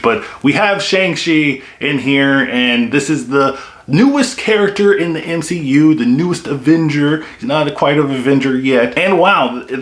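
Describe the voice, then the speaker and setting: Young man, casual film reviewer, enthusiastic and chatty, medium pitch, roomy echo. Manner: chatty and enthusiastic, with many "like" and "you know" fillers. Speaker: movie reviewer. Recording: echoey room.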